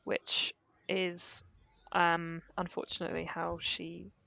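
A woman's voice: lowish voice, completely flat delivery, creaky, pushed and bright, no room sound. A woman co-hosting a podcast, chatting emphatically and casually about films. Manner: chatty, emphatic, quick to laugh. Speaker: podcast co-host. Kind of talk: casual film discussion.

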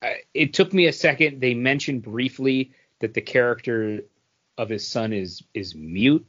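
A man's voice: medium pitch, measured pace, fairly bright tone, lo-fi sound. A man co-hosting a podcast, chatting casually and bluntly about what he has read, with some casual swearing. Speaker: podcast co-host reviewing comics. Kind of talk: casual chat.

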